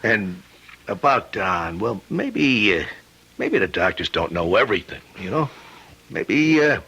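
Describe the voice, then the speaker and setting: An actor playing a kindly, awkward police sergeant in an old-time radio drama, earnest and stammering. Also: male voice, lowish voice, big pitch swings, unhurried, sharp tone, slightly rough, dull audio, full character voice. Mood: concerned, hesitant. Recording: noisy old radio broadcast recording.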